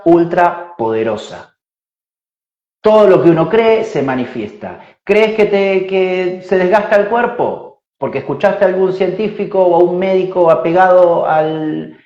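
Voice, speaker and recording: A man in his forties, a spiritual teacher, explains ideas slowly and reflectively, thinking aloud with fillers and tag questions. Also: fairly high pitch, a little mellow. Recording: roomy, dull lo-fi sound.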